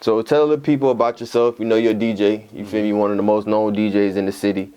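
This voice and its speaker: A man's voice: lowish voice, little pitch movement, fast, mellow, clear, resonant tone. A man hosting a podcast interview, hyping his guest in casual, slang-heavy talk. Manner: laid-back slang-heavy hype talk. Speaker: podcast host.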